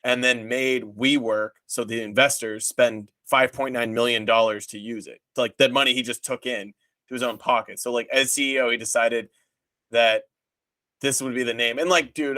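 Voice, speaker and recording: A man talks as a podcast host in an informal, explanatory way. His voice sits at an average pitch, and he wanders into asides as he reviews things. The sound is close and dry. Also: steady tone, moderate pace, sharp tone, no room sound. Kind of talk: casual podcast chat.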